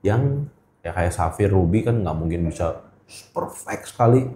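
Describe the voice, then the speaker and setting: Middle-aged Jakarta man, relaxed dark voice, colloquial podcast guest who repeats himself for emphasis, roomy echo. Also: deep voice, measured pace, a little hiss or hum. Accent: Jakarta Indonesian. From Indonesia. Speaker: guest, gemstone trader. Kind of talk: casual interview chat. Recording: echoey room.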